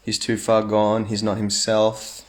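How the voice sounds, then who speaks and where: A man's voice: fairly low pitch, completely flat delivery, a little slow. A young man chatting casually on a phone livestream at home, reading fan comments aloud with playful asides.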